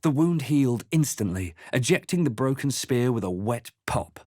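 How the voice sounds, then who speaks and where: A male actor playing a brooding, resolute fantasy RPG adventurer in a video game, with a measured, dramatic storytelling delivery. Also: mid-range voice, expressive, mellow, no echo, studio quality, natural voice. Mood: grave narrating. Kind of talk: scripted video game character lines and narration.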